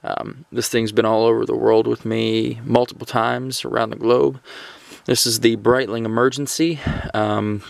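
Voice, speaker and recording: A man with a creaky, gravelly voice talks through a casual gear review. He speaks off the cuff and haltingly, often pausing mid-phrase. The sound is dry and close, with little room around it. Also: mid-range voice, little pitch movement, dry recording. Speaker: gear and radio reviewer hosting a video. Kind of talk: casual product review talk, unscripted.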